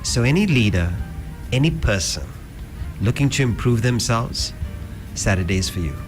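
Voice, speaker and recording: A middle-aged man from South Africa, a guest teacher explaining a philosophy calmly and steadily in a radio interview. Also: mid-range voice, over music. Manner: calm, explanatory, measured. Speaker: guest speaker, Vedanta teacher.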